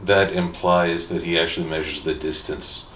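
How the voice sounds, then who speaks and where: A man's voice: low voice, fairly even intonation, normal speed, a bit bright, recorded from a distance, a little hiss or hum. A man co-hosting a fan discussion show with playful, teasing, theatrical banter. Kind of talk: casual joking banter. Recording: echoey room, off the mic.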